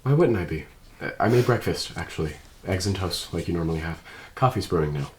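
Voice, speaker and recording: Guy doing a reserved android detective, really low voice, formal and careful, bit of noise behind. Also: lively intonation, a little mellow. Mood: earnest. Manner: measured, formal, precise phrasing. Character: earnest, reserved male android police detective partner. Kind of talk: table read of a drama script.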